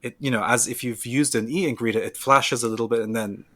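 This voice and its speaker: A man's voice: medium pitch, fairly even intonation, a little fast, fairly bright tone, no echo. A man co-hosting a podcast, chatting enthusiastically while giving a casual product recommendation. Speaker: podcast co-host. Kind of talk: casual chat.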